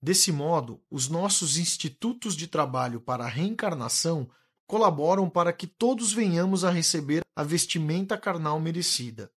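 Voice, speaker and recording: An actor playing a calm, wise male spirit instructor who speaks in a measured, gently authoritative way. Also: on the high side, breathy edge. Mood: patient teaching. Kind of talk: radio drama acting.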